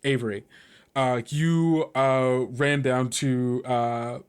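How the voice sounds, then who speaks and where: Male game master narrating with halting delivery. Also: medium pitch, fairly even intonation, smooth. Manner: halting, vivid descriptive phrasing. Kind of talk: improvised tabletop game narration.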